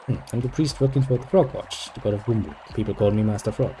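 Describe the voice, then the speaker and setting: Mellow-voiced man, dry sarcastic game talk. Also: on the low side, fast talker, soft background music, low-quality recording. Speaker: gameplay video host. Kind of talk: reading game dialogue aloud with joking commentary.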